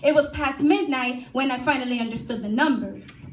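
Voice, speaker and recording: A young woman reciting rhyming spoken word testimony at a church service in a dramatic, measured way. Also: high-pitched. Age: young adult. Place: church hall during a live service. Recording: echoey live church recording.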